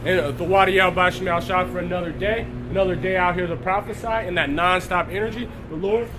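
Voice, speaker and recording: Hoarse male preacher, high voice, frequent throat clearing, live-stream sermon, faint noise behind. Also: fairly even intonation, fast. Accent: Texas. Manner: clears throat often, greets viewers by name. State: hoarse, recovering from illness.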